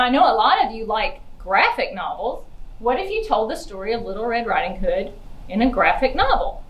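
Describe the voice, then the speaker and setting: A woman giving a chatty children's library book talk, using simple words and putting questions to young listeners. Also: fairly high pitch. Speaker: library outreach puppeteer and presenter. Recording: roomy, echoey indoor sound.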